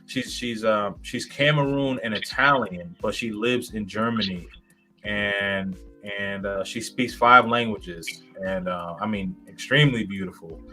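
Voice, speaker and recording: A man's voice: fairly low pitch, a little slow, pushed and bright, soft background music. A man in his thirties, a guest in a casual interview, rambling with many fillers and restarts. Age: 30s.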